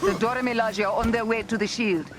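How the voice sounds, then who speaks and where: A woman playing a proud, loyal warrior general in a video game, with an African accent and a crisp, formal manner. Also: a little high, steady tone, fairly quick, noisy background, natural voice. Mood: dry, urgent. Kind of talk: video game dramatic voice acting. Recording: loud game music behind.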